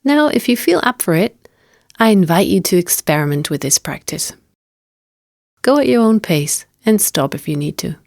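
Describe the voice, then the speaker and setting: A woman with a slightly low voice narrates a guided meditation. She is gentle and unhurried, phrasing instructions as invitations. The recording is dry, with very little room sound. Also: very animated, gravelly, dry recording. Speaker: meditation teacher.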